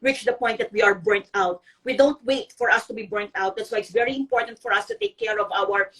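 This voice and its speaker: A woman's voice: medium pitch, brisk, fairly bright tone, husky, distant mic, poor audio. A middle-aged woman from the Philippines, a guest blogger on a live video interview, speaking earnestly with many ums and restarts. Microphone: video call.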